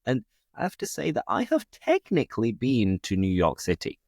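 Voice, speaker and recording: A man's voice: medium pitch, huge pitch swings, moderate pace, gravelly, a little mellow, no echo, clean studio sound. A man with a British accent hosting a casual conversation, asking curious, easygoing questions. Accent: British English. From England. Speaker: podcast host, English teacher.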